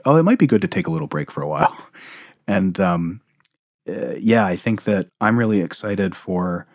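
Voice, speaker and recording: A man's voice: sits low, husky, no echo, studio quality. A man co-hosting a podcast, talking in a joking, easygoing way. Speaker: podcast co-host. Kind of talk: casual podcast chat.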